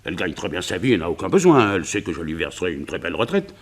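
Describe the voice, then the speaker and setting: A man's voice: deep voice, very animated, very fast, a little hiss or hum. An actor playing an older, confident businessman in a French radio crime drama, emphatic and blustering as he dismisses doubts.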